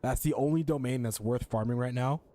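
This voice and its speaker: A man's voice: mid-range voice, brisk, dark tone. Casual, slangy young man streaming. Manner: slangy, rambling, joking. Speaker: video game streamer. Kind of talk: casual gameplay commentary and chat.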